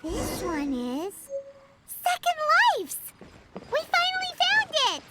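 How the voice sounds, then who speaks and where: A woman voicing a small, excitable, eager childlike girl companion in a video game, squeaky and exclamatory. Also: slow pace, noisy background, full character voice. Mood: excited, eager.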